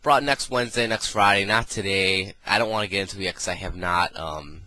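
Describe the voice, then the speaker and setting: A man's voice: low voice, a little fast, bright, forceful tone, no room sound. A man reviewing a gadget in a casual, rambling way, full of ums and uhs, sounding nasal from a cold. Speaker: product reviewer.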